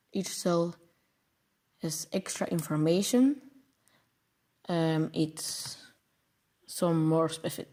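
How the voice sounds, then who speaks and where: Man, Belgian Dutch accent, non-native English, slow delivery, dark mellow tone, halting restarts, tutorial host. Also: sits high, vocal fry. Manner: hesitant, stumbles over long words and restarts. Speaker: programming channel host. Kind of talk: explaining a coding project over slides.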